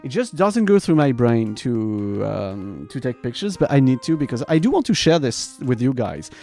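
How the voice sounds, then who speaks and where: A man rambling casually and informally to his livestream viewers, animated and loose in his wording. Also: medium pitch, normal speed, faint music behind. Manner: animated, laughs often. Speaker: variety streamer and host.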